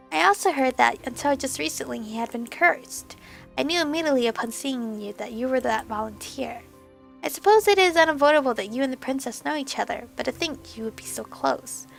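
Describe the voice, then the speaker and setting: A woman voicing a sly, scheming male sorcerer villain from a visual novel, with a smooth, mocking and condescending delivery. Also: high voice.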